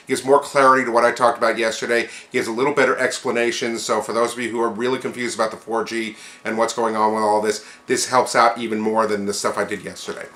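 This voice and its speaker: Bright-voiced man hosting tech news, roomy sound. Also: medium pitch. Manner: informal, conversational, chatty. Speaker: host of a tech news podcast. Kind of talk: casual news rundown with commentary.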